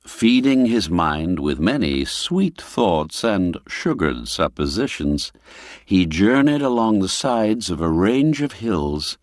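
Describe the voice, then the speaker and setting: A low-voiced man narrates an old-fashioned story at an unhurried pace, with a swooping, expressive range. His delivery is theatrical and savors every ornate phrase. Music plays behind him. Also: dry recording, studio quality. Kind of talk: scripted literary audiobook narration. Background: background music.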